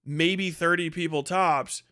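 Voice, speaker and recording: A man's voice: a little high, steady tone, takes it slowly, bright, forceful tone, clean tone. A man hosting a livestream, ranting casually with strong opinions in a blunt, profane, dismissive manner. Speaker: livestream host.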